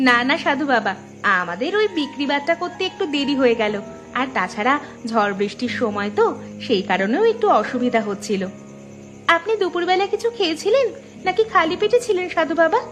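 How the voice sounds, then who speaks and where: A woman playing a high-voiced innocent village girl. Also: lively intonation, a little fast. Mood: eager, polite. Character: trusting, eager poor young village girls. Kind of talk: Bengali cartoon folktale acting. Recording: music bed behind the voice.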